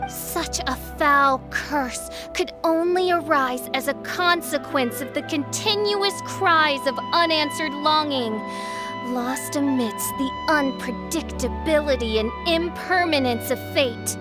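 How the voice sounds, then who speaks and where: A woman's voice: high voice, slow pace, music in the background. A woman voicing a theatrical teenage girl posing as a haughty princess in a video game, with grandiose, archaic speech. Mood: dramatic, grave. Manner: grandiose, archaic, alliterative, melodramatic. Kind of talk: video game character dialogue.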